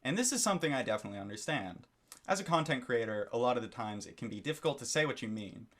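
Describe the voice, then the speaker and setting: A man's voice: medium pitch, animated, fast, fairly bright tone. A man giving a critical commentary monologue for his video channel, lecturing and disapproving, often repeating his phrases. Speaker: YouTube commentator.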